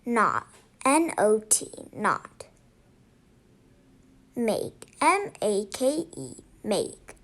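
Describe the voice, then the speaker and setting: A woman's voice: mid-range voice, very animated, very slow, long pauses. A female presenter giving children a spelling lesson, saying single words and spelling them out. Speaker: sight word presenter.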